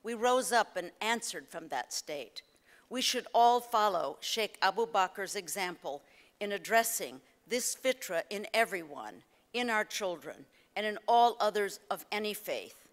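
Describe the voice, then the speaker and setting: A woman from the United States delivering a prepared, formal speech as a guest speaker. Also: medium pitch. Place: large hall at a ceremony. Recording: reverberant hall.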